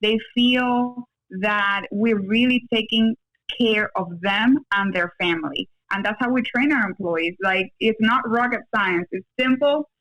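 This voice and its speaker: A woman's voice: medium pitch, a little slow, a bit bright, low-quality recording. Woman from Cuba and Venezuela, plain-spoken. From born in Cuba, raised in Venezuela, in the US since her teens. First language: Spanish. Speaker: podcast guest, attorney. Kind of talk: conversational interview answers.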